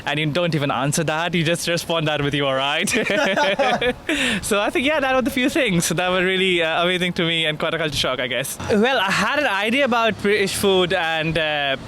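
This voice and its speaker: A man's voice: high voice, normal speed, few pauses, pushed and bright. A young man from India being interviewed outdoors, chatty, laughing often and hesitating with fillers. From India (Kolkata). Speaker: interviewee, MBA student. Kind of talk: casual street interview. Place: outdoors on a city street. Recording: street noise behind the voice.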